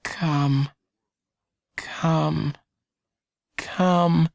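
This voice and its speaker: A man's voice: fairly high pitch, level tone, extremely slow, gravelly, dry recording, studio quality, pitched above the performer's own voice, full character voice. A man voicing an anxious, earnest young boy who pleads and hesitates, in a children's storybook. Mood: desperate pleading.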